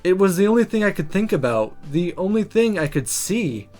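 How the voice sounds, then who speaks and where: Expressive man narrating, high animated voice. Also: moderate pace, faint music behind. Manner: expressive, story-telling. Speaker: visual novel player and narrator. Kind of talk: dramatic read-aloud narration of game text.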